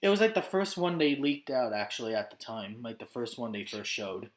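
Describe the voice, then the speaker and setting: A man's voice: mid-range voice, brisk. A man from Romania chatting casually as interviewer, stammering and hesitant with lots of fillers. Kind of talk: casual chat.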